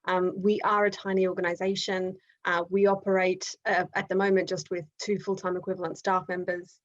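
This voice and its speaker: A woman's voice: lowish voice, level tone, brisk, muffled recording. A woman with an Australian accent hosting an online event on a video call, talking with frequent ums and restarts. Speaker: event host.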